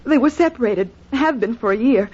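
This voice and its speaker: A woman's voice: fairly high pitch, expressive, fairly quick, darkish tone, breathy edge. A woman playing a distraught, anxious sister in an old-time radio murder mystery, with dramatic delivery. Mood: anxious, grieving. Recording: muffled old radio recording.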